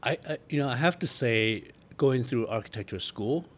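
A middle-aged man from Taiwan reminiscing casually in an interview, in a halting, self-correcting way. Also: medium pitch, expressive. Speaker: interview guest, architect. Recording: noticeable background noise.

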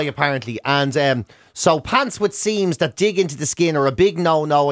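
Irish man, middle-aged radio host. High voice, dry studio sound, comic casual banter with mock indignation. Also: fairly bright tone, dry recording. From Ireland.

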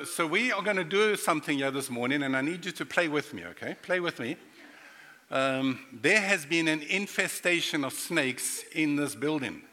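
A man's voice: a little high, sharp tone, big-room sound, slight background noise. A middle-aged man from Africa, preaching a playful sermon that speaks directly to his congregation in a church sanctuary. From South Africa. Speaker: pastor.

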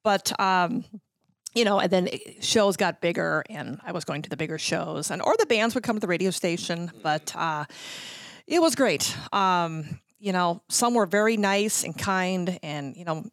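A woman's voice: lowish voice, animated, moderate pace, dry recording. A middle-aged woman telling stories in a casual chat as a guest, acting out little quotes as she goes. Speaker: guest, former radio promotions worker.

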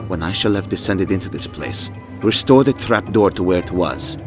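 A man's voice: low-pitched, lively intonation, moderate pace. A man playing a solemn, commanding young prince in an archaic audio drama, with grave, formal, declamatory delivery. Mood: solemn, urgent. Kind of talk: audio drama acting of an archaic storybook tale. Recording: music behind the voice. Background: music.

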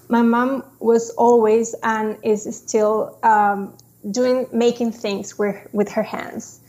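Slow-speaking woman with gravelly tone. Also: on the high side, a little mellow. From Chile. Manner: slow, halting, with frequent restarts. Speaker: guest, designer. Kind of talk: casual interview.

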